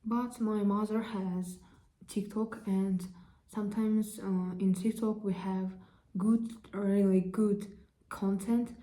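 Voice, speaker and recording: A young woman from Kazakhstan with a Kazakh accent, introducing herself in halting, careful learner's English full of fillers. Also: mid-range voice, fairly even intonation, warm tone. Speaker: vlogger. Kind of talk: casual self-introduction.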